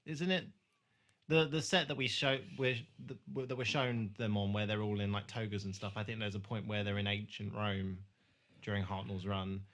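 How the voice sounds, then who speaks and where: A man chatting casually as a podcast host, recapping a TV drama in a halting way with searching pauses. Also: lowish voice, level tone, a bit bright.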